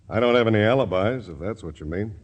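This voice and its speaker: An actor playing a fed-up, defensive local neighbor in a vintage radio drama, talking in a halting, folksy way. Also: male voice, lowish voice, husky. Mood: awkward, guarded.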